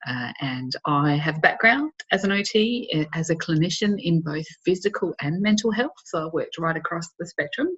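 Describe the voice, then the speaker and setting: A middle-aged woman with an Australian accent, an occupational therapist giving a webinar, her voice slightly croaky from hay fever, explaining in a measured way with fillers. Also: low-pitched, animated. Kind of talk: presenting a professional webinar. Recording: dull lo-fi webinar audio.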